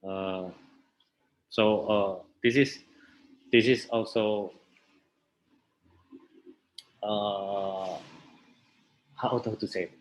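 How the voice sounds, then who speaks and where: A man with an Indonesian accent explaining things in an interview, halting and searching for his words. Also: lowish voice, steady tone, slight background noise, poor audio. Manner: halting, searching for words in non-native English. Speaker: interview guest, theatre researcher and festival director.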